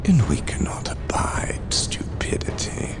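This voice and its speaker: A man playing a sinister, contemptuous dark warlord in a video game, gravelly, menacing and disdainful. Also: extremely low pitch, big pitch swings, slow pace, over music, heavily acted. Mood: cold contempt. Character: sinister chaos lord and sly sorcerer, contemptuous villains.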